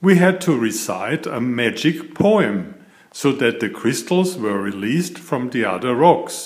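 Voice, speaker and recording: A man narrates a storybook for small children. His mid-pitched voice moves slowly, with lively rises and falls. He tells it deliberately and expressively, as an author sharing his own tale. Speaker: author reading his picture book aloud.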